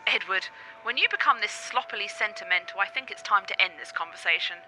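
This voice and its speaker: A woman's voice: medium pitch, fast talker, sharp tone, slight background noise, rough recording. An actress playing a doctor's ailing but strong-willed girlfriend, speaking in a wry, theatrical radio play manner. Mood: wry, stubborn.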